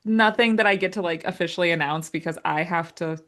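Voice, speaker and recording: A woman's voice: on the low side, fast talker, fairly bright tone. A woman chatting casually as a guest writer in an interview, with a self-deprecating, joking manner. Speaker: audio drama writer and guest.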